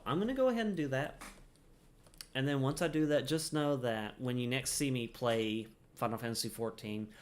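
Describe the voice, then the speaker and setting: A man's voice: mid-range voice, a bit bright. A man giving casual, rambling livestream commentary over a game in a playful, chatty way. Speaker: game streamer.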